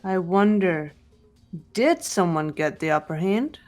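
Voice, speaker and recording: A woman voicing a composed young female detective with a measured, coolly commanding manner in a game dub. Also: fairly low pitch, animated, a little mellow, faint music behind. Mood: cool, pondering. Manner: measured, cool, matter-of-fact. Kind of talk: fan voice-over of visual novel game.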